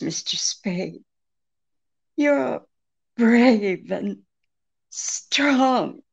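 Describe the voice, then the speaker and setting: An actress playing a secretive, seemingly helpless femme fatale client in an old-time radio mystery, halting and pleading with a throb in her voice. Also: mid-range voice, vocal fry, breathy edge, heavily acted. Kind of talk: old-time radio detective drama re-creation.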